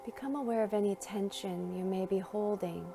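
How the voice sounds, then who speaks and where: A woman narrating a guided meditation in a gentle, soothing, unhurried manner, leaving long pauses between phrases. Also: mid-range voice, fairly even intonation, vocal fry, over music.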